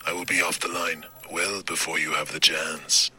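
An actor playing a courteous, menacing serial killer in a radio suspense play, with a husky, half-whispered voice and a mock-polite, taunting manner. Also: male voice, rumbling low, little pitch movement, normal speed, sharp tone, faint music behind, full character voice. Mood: sinister, taunting. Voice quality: husky, raspy, partly whispered. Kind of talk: old-time radio suspense acting.

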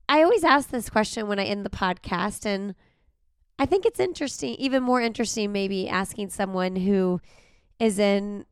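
A woman hosting a podcast, raspy from a cold, chatting casually and laughing often. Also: fairly high pitch, dry recording, clean studio sound. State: recovering from a cold. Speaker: podcast host. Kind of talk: casual interview chat.

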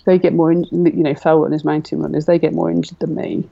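Woman, forties, gravelly and flat-toned, muffled lo-fi line; relaxed interview guest who thinks aloud. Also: low voice, quick pace. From Britain. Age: 40s. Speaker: guest athlete. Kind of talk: casual conversational interview.

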